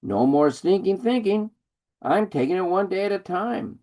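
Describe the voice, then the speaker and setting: A man reading his poem at an online open mic, slow and deliberate, speaking over a video call. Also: high voice, lively intonation, mellow. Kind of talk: poetry reading.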